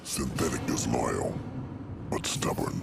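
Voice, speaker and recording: An actor playing a brutal, blunt pack warrior in a video game, gravelly, terse and ominous. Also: male voice, a bass voice, measured pace, loud music behind the voice, heavily acted. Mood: gruff. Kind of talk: video game character acting.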